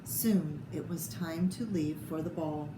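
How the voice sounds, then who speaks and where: A woman reading a children's picture book aloud with expressive, theatrical delivery and short asides about the pictures. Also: low-pitched, measured pace. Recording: echoey room with clear background noise.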